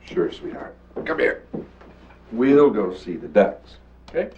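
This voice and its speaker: Low-voiced, weary alcoholic father. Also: sing-song, slow. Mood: placating. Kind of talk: 1980s educational film acting. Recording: dull, noisy old VHS film soundtrack.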